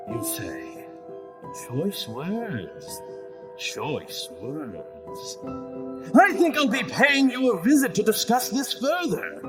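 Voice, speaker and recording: A pompous, archly polite spirit who has taken over a body, swoops dramatically from line to line. The delivery is slow and theatrical with a menacing edge, in a podcast horror skit with loud music underneath. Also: male voice, on the high side. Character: genteel, theatrical male spirit possessing a man, menacing.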